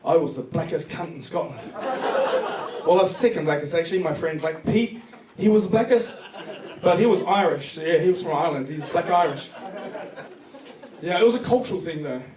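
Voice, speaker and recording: Male stand-up comic, New Zealand accent, high voice, profane storyteller, recorded from a distance in a club. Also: lively intonation, normal speed, pauses often. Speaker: stand-up comedian. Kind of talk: stand-up comedy set. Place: comedy club stage before a live audience. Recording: distant echoey club recording, noisy laughing crowd.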